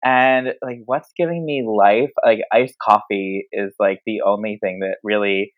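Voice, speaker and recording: A drag performer from the Philadelphia area as a podcast guest, laid-back and laughing easily, filling talk with "like". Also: male voice, lowish voice, steady tone, measured pace, dry recording, narrow, tinny audio. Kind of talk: casual interview answers.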